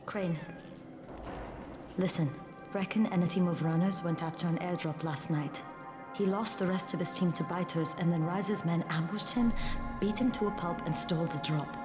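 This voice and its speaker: A woman's voice: on the low side, normal speed, over music, lightly acted. An actress playing a tough, urgent young woman survivor in a video game.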